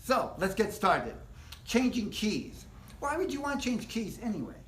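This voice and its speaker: A man teaching a casual live guitar workshop, explaining things step by step in a relaxed, rambling way. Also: high-pitched, normal speed, a little hiss or hum. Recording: echoey room.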